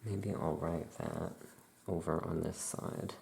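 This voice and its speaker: A performer voicing a chatty, musing necromancer witch shopkeeper in a soft-spoken ASMR roleplay, with writing sounds behind. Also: very deep voice, steady tone, slow, creaky, a little hiss or hum, exaggerated voice. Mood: relaxed, musing.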